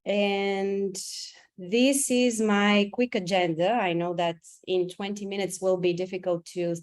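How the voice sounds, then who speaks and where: A woman's voice: medium pitch, steady tone, unhurried, a little mellow. A woman with a Bulgarian accent, a clinical psychologist, giving a careful webinar presentation over a video call, with many "um" fillers.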